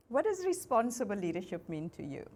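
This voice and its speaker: A woman moderating a fireside chat, lively and affirming with quick asides. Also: mid-range voice. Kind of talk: conversational panel moderation.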